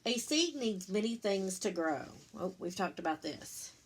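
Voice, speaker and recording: A woman reading a picture book to children like a teacher, in simple, deliberate phrases, pausing to explain and ask questions. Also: medium pitch, very animated, slight background noise. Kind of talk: read-aloud storytime with explanatory asides.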